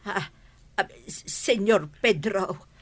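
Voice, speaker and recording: A woman's voice: mid-range voice, takes it slowly. A woman acting a wheedling village debtor in a radio comedy drama, pleading and exclaiming. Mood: wheedling, startled.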